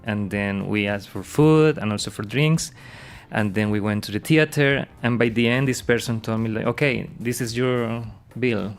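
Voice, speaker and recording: A man, a university professor chatting casually in English with many pauses and fillers. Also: lowish voice. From Colombia. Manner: hesitant, many fillers and restarts.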